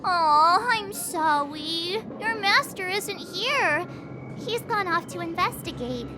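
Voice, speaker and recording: A woman's voice: very high-pitched, animated, slow, noisy background, exaggerated voice. An actress playing a perky, cocky teenage girl adventurer in an anime-style game, chatty and cutesy. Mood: apologetic. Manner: chatty, cutesy, playfully smug. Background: game music.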